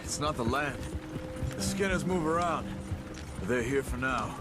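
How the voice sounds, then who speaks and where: An actor playing a steady, grave frontier man with a measured manner in a western game. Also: medium pitch, loud music behind the voice, close to an everyday voice. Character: steady, grave frontier companion, seasoned and protective. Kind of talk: video game dramatic acting.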